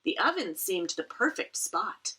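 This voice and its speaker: Woman, children's storybook read-aloud, expressive, bright voice, unhurried pace, dramatic emphasis. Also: mid-range voice, slightly rough. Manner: animated, dramatic emphasis with playful asides. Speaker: teacher reading a picture book aloud.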